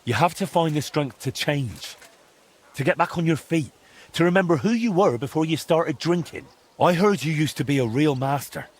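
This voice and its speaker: An actor playing a determined young blacksmith hero in a video game, coaxing and firm, with a husky voice. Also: male voice, on the high side, moderate pace. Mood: encouraging. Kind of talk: video game drama acting.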